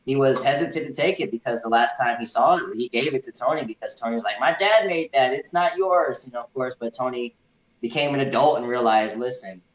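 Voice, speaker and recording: A man in a casual movie review chat, talking as an enthusiastic fan and often repeating words haltingly. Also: medium pitch. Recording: echoey room, dull muffled audio.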